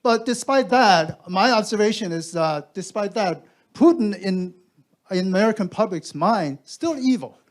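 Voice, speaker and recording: A man's voice: sits high, lively intonation, unhurried. A man from Taiwan asking a long, rambling question from the audience at a symposium, repeating words as he goes.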